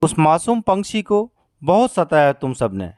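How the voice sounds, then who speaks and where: A man voicing a kind male crow in a cartoon story, speaking softly and earnestly. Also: a little high, very animated, unhurried, dry recording, clean studio sound. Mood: sad, reproachful. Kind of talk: Hindi cartoon story voice acting.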